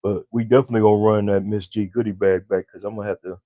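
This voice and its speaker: A man co-hosting a podcast with his wife, with a deep voice, chatting casually and talking straight to listeners. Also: fairly even intonation, fast talker, no echo, narrow, tinny audio. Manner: laid-back, talks straight to listeners as y'all. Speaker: podcast co-host.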